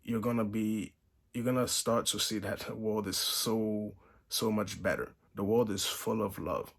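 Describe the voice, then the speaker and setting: Gravelly-voiced young man vlogging earnestly. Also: lowish voice, fairly even intonation, a little slow. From Haiti. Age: 20s. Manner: earnest, rambling with restarts and tag "right?". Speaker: channel host. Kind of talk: casual vlog monologue. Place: Buffalo, New York.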